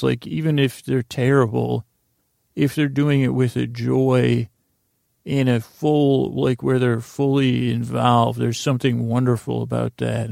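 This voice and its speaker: A man hosting a podcast, talking in a meandering, halting way and drifting into a slow, lulling bedtime monologue. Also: medium pitch, gravelly, mellow, no echo, clean studio sound. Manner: meandering, halting, with restarts and filler words; slow drowsy lulling delivery. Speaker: podcast host.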